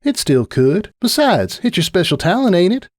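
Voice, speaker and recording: A man voicing a young female farm pony who is kindly, steady and teasing, with a folksy, reassuring way of talking. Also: sits high, moderate pace, no room sound, clean studio sound, voice pushed up. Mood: encouraging.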